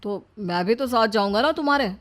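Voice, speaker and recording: A woman's voice: a little high, lively intonation, fairly quick, soft background music, natural voice. A woman voicing a young husband in a cartoon family story, easily swayed, grudging and casual. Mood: agreeable.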